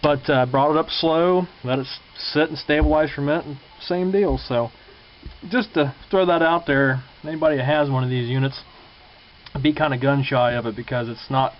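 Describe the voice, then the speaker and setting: A man's voice: on the high side, fairly even intonation, normal speed. A man talking casually and unscripted to viewers in a plain-spoken, folksy way, as a hobbyist showing his repair work. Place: indoors at a home workbench. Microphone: handheld camera's built-in mic. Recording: muffled lo-fi camcorder sound, clear hum.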